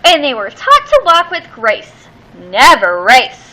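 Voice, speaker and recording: Lady reading kids a picture book, slow n bright, stretches out the big words. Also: high-pitched, wildly swooping pitch, a little hiss or hum, rough recording. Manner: theatrical, drawn-out emphasis on key words. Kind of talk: expressive children's storybook read-aloud.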